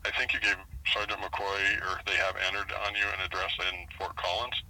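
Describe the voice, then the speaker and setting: A man speaking as a police sergeant on a phone call, procedural and halting, repeating and checking details. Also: low voice, flat delivery, pushed and bright. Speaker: police sergeant handling a complaint. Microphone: recorded phone call. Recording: noisy telephone line.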